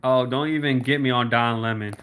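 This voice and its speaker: A man's voice: mid-range voice, steady tone, fairly quick, a bit bright, clear, resonant tone. A man in his late twenties hosting a casual livestream, chatting with viewers by name and laughing often. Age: 20s. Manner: laid-back, laughs often, talks to chat by name. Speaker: livestream host. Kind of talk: casual livestream chat reading comments.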